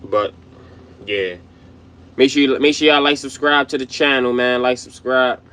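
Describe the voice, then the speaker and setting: A man's voice: mid-range voice, fairly even intonation, normal speed, fairly bright tone, smooth. A young adult man from Baltimore with a Baltimore accent, hosting his channel in a loose, slangy, profane commentary monologue. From Baltimore, USA. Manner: loose slangy rambling, frequent "you know what I mean"; profane, emphatic. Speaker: channel host.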